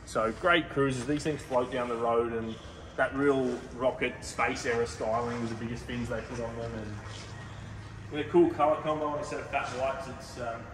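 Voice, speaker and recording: A man hosting a casual car showroom walkthrough, talking enthusiastically with relaxed fillers. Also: medium pitch, normal speed. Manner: laid-back, enthusiastic car talk with fillers. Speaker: car dealer host. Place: large indoor car showroom. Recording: roomy reverb, mic far off, background noise.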